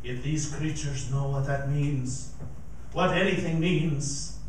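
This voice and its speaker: A man's voice: fairly high pitch, takes it slowly. A man acting as an urgent, alarmed field reporter in a live radio drama. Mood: watchful, hushed. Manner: tense, urgent, declamatory. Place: live stage performance in a performing arts center. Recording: distant mic, echoey theater, faint noise.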